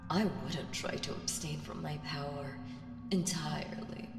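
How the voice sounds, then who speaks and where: In a cavernous, long reverb comes an ancient female evil played by a woman, speaking very slowly and on the low side. She is seductive and patient, teasing her listener with menace and dropping into whispers, in the manner of an ASMR roleplay. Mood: ominous warning. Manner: slow, deliberate, teasing, lapsing into breathy whispers. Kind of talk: ASMR audio roleplay acting. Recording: very echoey, long reverb, music behind. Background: music.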